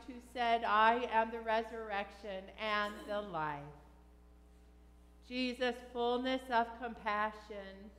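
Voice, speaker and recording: A middle-aged woman speaking as a pastor, leading liturgical prayer in a measured, solemn way in a church. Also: fairly high pitch, a bit bright. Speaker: pastor leading a funeral service. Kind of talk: liturgical prayer and creed recitation. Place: large church sanctuary, at the altar. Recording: echoey church hall with long reverb.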